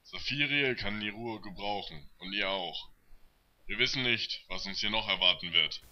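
A man's voice: fairly low pitch, moderate pace, bright, forceful tone, dry recording. A man playing a decisive, commanding leader of fantasy adventurers in an audio drama. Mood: protective. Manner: decisive, instructing. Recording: muffled lo-fi amateur recording.

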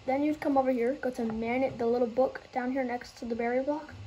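Bright-pitched woman, halting game tutorial. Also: fairly even intonation, a little hiss or hum, poor audio. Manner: halting, loses track and starts over. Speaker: gaming tutorial host.

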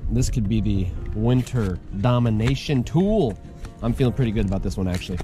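A man's voice: fairly low pitch, lively intonation, a little fast, warm tone. A man with an American accent hosting a casual outdoor fishing vlog, chatting to viewers in colloquial, folksy slang. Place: outdoors by a pond. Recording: music laid over speech. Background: music.

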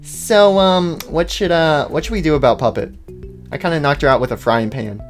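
A woman voicing a dim, cheeky, excitable female animatronic chicken in an improvised comedy roleplay. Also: sits low, moderate pace, soft background music.

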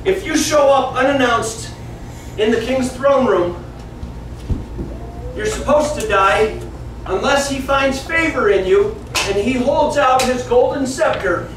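A man's voice: very high voice, slow. A man in his fifties teaching children, telling a Bible story theatrically and acting out the dialogue in simple words. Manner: theatrical, acts out dialogue, plain simple wording. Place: small classroom indoors. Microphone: camera several metres away. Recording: roomy echo, distant camera mic, room noise.

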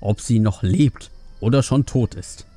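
A man's voice: medium pitch, a little slow, mellow, faint music behind, lightly acted. A man voicing an angry, stubborn, overprotective middle-aged pandaren father in a fantasy audiobook, vehement, snappish and scornful. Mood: bitter, reproachful.

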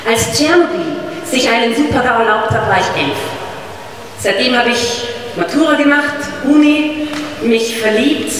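A woman's voice: fairly high pitch, a little slow, a bit bright. A woman, performing spoken word poetry on stage with rhythmic, emphatic delivery. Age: 30s. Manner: rhythmic, emphatic, gesturing widely. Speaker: slam poet. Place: large dark stage hall with live audience. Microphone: stand microphone. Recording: echoey hall, distant mic, audience noise.

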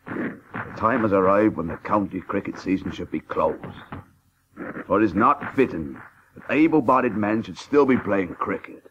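An actor playing an ageing, proud and hearty celebrated cricketer in a radio drama. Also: male voice, fairly low pitch, moderate pace, a bit bright, slight background noise, lightly acted. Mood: solemn. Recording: dull, restored 1980s radio tape.

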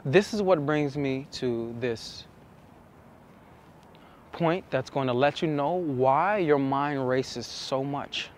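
A young man explaining to camera as a dating coach, with emphatic, deliberate phrasing and pauses, recorded outside on a city street. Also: mid-range voice. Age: young adult. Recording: outdoor city street with traffic noise.

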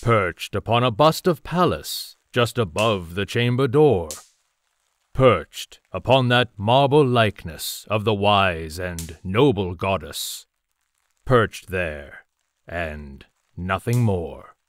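A man playing a solemn storyteller, narrating gothic poetry in a theatrical, measured audio drama style. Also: on the low side, expressive, dry recording. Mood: solemn, foreboding.

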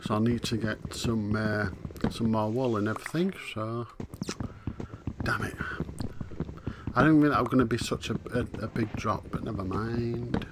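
A man with a hoarse, coughing voice, casually muttering his way through a video game as he plays. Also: lowish voice, moderate pace, background noise. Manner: muttering to himself, easygoing, frequent "right". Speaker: let's play host. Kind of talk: casual gameplay commentary.

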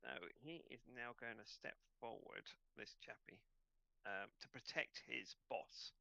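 A man narrating an online tabletop game as game master in a hesitant, halting way. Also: mid-range voice, gravelly, bright, forceful tone, no room sound.

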